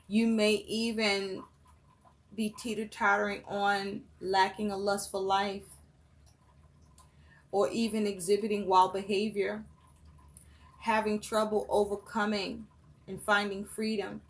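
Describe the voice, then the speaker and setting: A woman giving a tarot reading for her viewers, listing phrase after phrase in a slow, measured way. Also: medium pitch, flat delivery. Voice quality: voice rough and breaking, coughing. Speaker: tarot reader.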